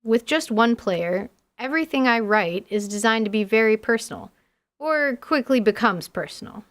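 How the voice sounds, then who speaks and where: Clear-voiced woman hosting a scripted podcast. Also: fairly high pitch, lively intonation, normal speed. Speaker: dungeon master and host.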